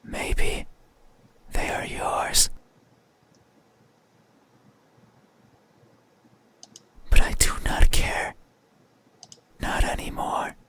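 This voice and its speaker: A performer voicing an eerie, mysterious being in a horror game, menacing yet sorrowful, speaking in a breathy, halting, insistent whisper. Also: bright, forceful tone. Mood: cold, wounded. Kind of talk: horror visual novel voice acting.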